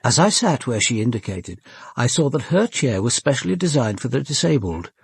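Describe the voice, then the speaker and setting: An actor playing a reserved, observant English police commander, narrating his case in a measured, precise way. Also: medium pitch, sing-song, vocal fry, breathy edge, no echo, exaggerated voice. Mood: reflective, grave. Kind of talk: first-person dramatic narration.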